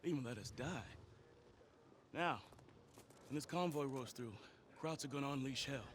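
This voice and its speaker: An actor playing a polite combat engineer who speaks courteously, with dry, grim humor. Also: male voice, medium pitch, moderate pace, background noise, lightly acted.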